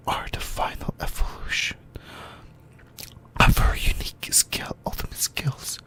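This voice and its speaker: Breathy whisper, slow pace, halting ASMR explainer, anime fan host, repeated phrases. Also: sharp tone.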